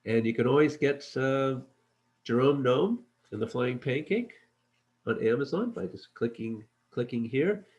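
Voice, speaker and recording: A man narrating a children's storybook as its author, with measured, expressive delivery. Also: medium pitch, slightly rough, lo-fi sound. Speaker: author reading own children's book.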